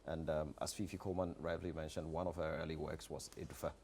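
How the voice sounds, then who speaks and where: A Ghanaian man speaking as a theatre producer in a studio interview, with measured, formal phrasing. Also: rumbling low, little pitch movement, vocal fry, a little mellow, a little hiss or hum. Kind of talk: interview talk. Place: TV studio set.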